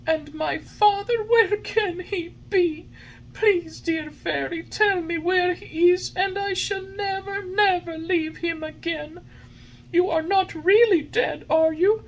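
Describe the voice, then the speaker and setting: A woman's voice: very high-pitched, sharp tone, pitched above the performer's own voice, heavily acted, poor audio. A woman voicing a childlike, emotional little wooden puppet boy in a storybook, with a plaintive, exclamatory delivery.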